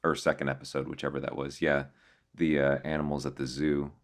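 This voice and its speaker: A man giving casual reaction commentary as a host, musing aloud in a halting way. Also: extremely low pitch, completely flat delivery. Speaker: host reacting to horror videos.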